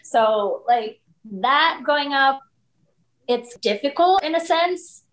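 A woman's voice: sits high, expressive, slow, a bit bright, rough recording. Woman in her thirties. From Philippines. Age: 30s. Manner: candid, storytelling, frequent likes and you knows. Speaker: podcast guest. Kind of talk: casual interview conversation.

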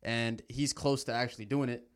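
A man's voice: mid-range voice, fairly even intonation, normal speed. A young man, a native Arabic speaker, chatting casually on a podcast in loose, slangy talk full of fillers. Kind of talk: casual chat.

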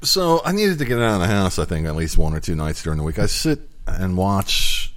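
A middle-aged man from New Jersey hosting a casual podcast chat, joking and relaxed. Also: sits low, fairly quick. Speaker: podcast host.

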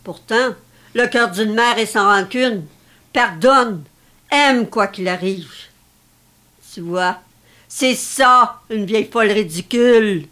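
A woman's voice: mid-range voice, takes it slowly, pauses often, bright, forceful tone. An actress playing an elderly, possessive mother, theatrical and self-pitying, in a dramatic script reading. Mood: wounded, reproachful. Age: older. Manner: theatrical, reproachful, martyr-like.

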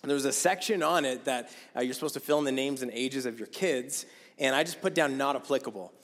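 A man in his thirties preaching a sermon in a casual, chatty way, his voice going hoarse. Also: mid-range voice, fast talker, a bit bright. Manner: casual, conversational, with many fillers. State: losing his voice. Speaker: guest preacher. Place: church sanctuary. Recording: long hall reverb.

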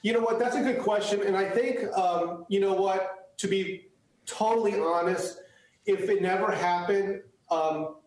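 Male interview guest, native Chinese speaker, earnest with frequent restarts, slightly high voice, very echoey room. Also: unhurried, darkish tone. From United States. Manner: earnest, many restarts and fillers. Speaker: guest, photographer. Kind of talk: interview answers.

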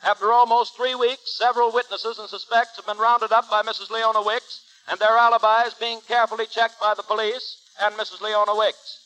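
A man's voice: high-pitched, flat delivery, brisk, hardly any pauses, pushed and bright, slight background noise, low-quality recording. An actor playing an urgent male radio newscaster, reading a bulletin in an emphatic, declamatory 1930s style. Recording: vintage 1930s radio broadcast.

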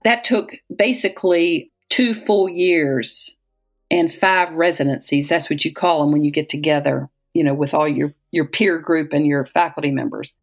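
A woman's voice: sits low, normal speed, soft background music. An American woman in her sixties from Southwest Virginia, telling personal stories conversationally, as if in an interview, often quoting people she has known. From Southwest Virginia, United States. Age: 60s. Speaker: interviewee, retired-age physician and poet.

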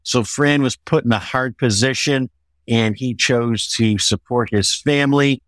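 A man's voice: mid-range voice, unhurried, a bit bright, no echo. American author, a mature man, dry close sound. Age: middle-aged or older. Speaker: author being interviewed. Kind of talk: conversational interview answers.

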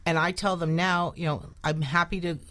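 A woman's voice: lowish voice, fairly even intonation, normal speed, fairly bright tone. Woman panelist, plain-spoken and emphatic, chatting out practical warnings and advice. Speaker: business talk show panelist. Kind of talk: conversational cautionary advice.

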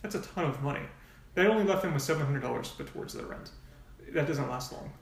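Brief: quick-talking gravelly man, frank and explanatory, heard from a distance in an echoey room. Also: fairly high pitch, lively intonation. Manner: frank, explains in long runs with asides. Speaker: nonprofit volunteer coordinator, guest. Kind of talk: casual podcast interview.

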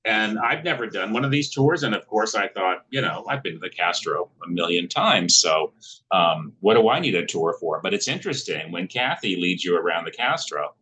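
A man with a lowish, bright, forceful voice co-hosts a podcast. He tells a story in casual chat that rambles along with many fillers and restarts. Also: breathy edge, poor audio. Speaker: podcast co-host.

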